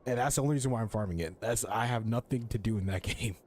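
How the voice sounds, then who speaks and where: A man's voice: lowish voice, fast, a little mellow. A young man doing casual gameplay commentary as a streamer, rambling and joking in slang. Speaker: video game streamer.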